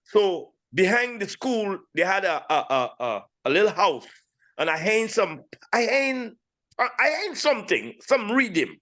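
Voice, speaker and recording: A man in his sixties with a St. Lucian accent, telling his story casually as an interview guest, animated and repeating phrases for emphasis. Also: high voice, measured pace. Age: 60s. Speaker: interview guest, steel pan player.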